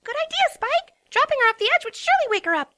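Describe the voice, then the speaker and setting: A woman's voice: very high voice, very animated, fast, pushed and bright, heavily acted. A woman voicing a hyperactive, bubbly female pony who squeals and bounces through her lines. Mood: excited. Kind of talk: fan dramatic reading.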